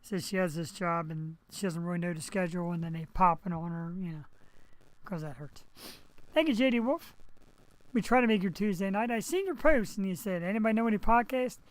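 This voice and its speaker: A man hosts a live webcast talk show through a headset microphone. His voice is raspy and hoarse after surgery. He rambles in a chatty way, talking straight to his viewers. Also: sits high, fairly quick, no echo, low-quality recording. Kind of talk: casual live webcast monologue.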